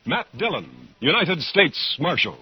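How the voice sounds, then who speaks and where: A man with a husky voice announcing dramatically in the style of 1950s radio. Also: on the high side, huge pitch swings, a little slow, poor audio.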